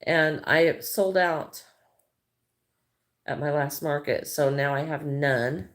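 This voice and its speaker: A woman's voice: deep voice, slow pace. Middle-aged woman, Midwestern accent, casual livestream chatter, greets viewers, thinks aloud while crafting. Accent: Midwestern American. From American Midwest. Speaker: crochet livestreamer.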